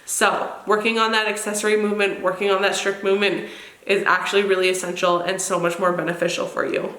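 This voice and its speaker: A woman's voice: medium pitch, level tone, fast, fairly bright tone. Congested, nasal woman in an echoey room. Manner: informal, chatty, repeats words for emphasis. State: congested, recovering from illness. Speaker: fitness vlogger and channel host. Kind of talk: casual advice talk to camera. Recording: roomy echo on the voice.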